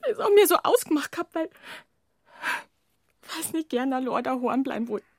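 An actress playing a timid, frightened young village maid with a Bavarian accent, speaking haltingly in a radio crime drama. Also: female voice, sits high, animated, a little mellow, dry recording, studio quality. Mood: hesitant, confiding. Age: young adult. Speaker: farm maid, witness.

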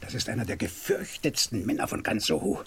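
An actor playing an earnest, slightly flustered inspector who explains absurd things matter-of-factly in a mid-century German radio crime comedy. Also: male voice, fairly low pitch, lively intonation, a little fast, vocal fry, a little hiss or hum. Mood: earnest, brisk.

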